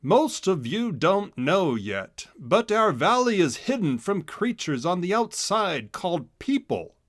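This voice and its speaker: A man's voice: high-pitched, big pitch swings, a little slow, no echo, studio quality, pitched above the performer's own voice. A man voicing a stern, authoritative animal mayor giving an emphatic speech in a children's storybook. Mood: stern, warning.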